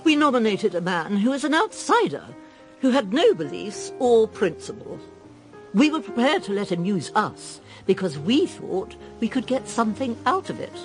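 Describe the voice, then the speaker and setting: A woman's voice: fairly high pitch, big pitch swings, normal speed, soft background music. An actress playing a dignified upper-class Conservative lady in a radio drama, speaking formally, sternly and with emphasis. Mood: stern, principled.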